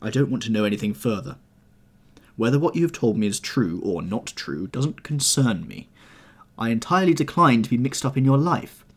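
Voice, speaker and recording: A man voicing a hard, cold gentleman scientist who answers with contempt, in an audiobook reading. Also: on the low side, lively intonation, brisk, breathy edge. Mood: refusing.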